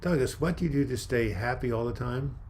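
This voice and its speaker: An older man hosting a casual live chat, reading viewer comments in a calm, soft-spoken way with frequent restarts. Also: medium pitch, brisk, slight background noise. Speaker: livestream host.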